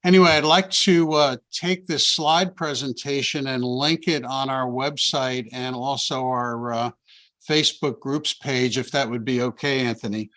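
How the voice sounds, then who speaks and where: A man giving informal remarks as an audience member on a video call, hesitant and pausing as he goes. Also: medium pitch, steady tone, fairly bright tone. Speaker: state committee member thanking presenter.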